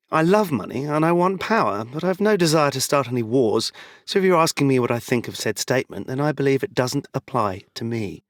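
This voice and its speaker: A man's voice: medium pitch, no room sound, studio quality, lightly acted. An actor playing a smug, greedy con man in an audio drama, glib and self-satisfied. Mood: smug, defiant.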